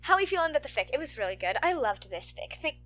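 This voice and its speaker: A woman's voice: high-pitched, very animated, fast, a bit bright, slight background noise. A woman podfic narrator who talks in an informal, playful way. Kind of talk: animated story narration and casual outro chat.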